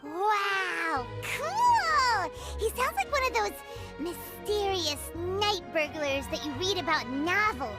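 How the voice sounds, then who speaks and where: A woman voicing a small, excitable, fairy-like game companion with a squeaky childlike voice. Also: full character voice. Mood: curious. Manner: bouncy, quick to cheer or worry. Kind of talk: video game voice acting. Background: game score music.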